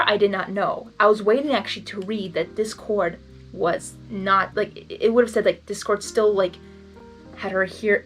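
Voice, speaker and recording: A woman who hosts fan fiction readings, moving between expressive story narration and emotional, casual reaction. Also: mid-range voice. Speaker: fan fiction reader and channel host.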